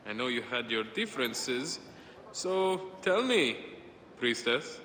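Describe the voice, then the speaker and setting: A slow, probing investigator. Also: male voice, medium pitch, big pitch swings, sharp tone, slight background noise, heavily acted. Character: suspicious adult male official, probing investigator. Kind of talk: video game voice acting. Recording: echoey hall.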